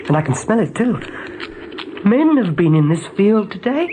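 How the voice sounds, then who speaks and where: A woman's voice: mid-range voice, very animated, moderate pace, warm tone, lightly acted. A woman playing a small, young, fearful runt rabbit who sees visions, speaking with trembling urgency in a radio drama. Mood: uneasy, foreboding. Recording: noisy old radio play recording.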